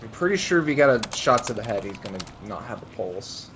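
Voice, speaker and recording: A man talks casually as a player in an online roleplay game. His voice sits at an ordinary pitch, and a faint noise runs behind it. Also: very animated, fast. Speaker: roleplay gamer. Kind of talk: casual in-character roleplay chat.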